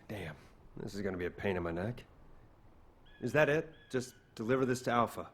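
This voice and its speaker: An actor playing a reluctant but obliging chef in a video game, casual, expressive and given to griping. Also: male voice, on the low side, normal speed. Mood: grumbling.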